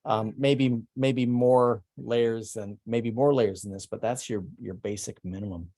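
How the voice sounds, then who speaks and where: A middle-aged man presenting his artwork at an online salon, speaking haltingly with many ums and restarts. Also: mid-range voice, fairly even intonation, mellow. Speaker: presenting artist. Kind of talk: online salon artist talk.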